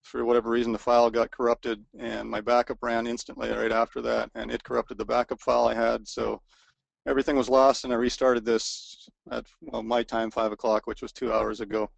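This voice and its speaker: A man's voice: medium pitch, little pitch movement, fairly quick, gravelly, dry recording, lo-fi sound. A man co-owning a resort presents a webinar in a hesitant, informal way, with many fillers and restarts, his voice wearing out.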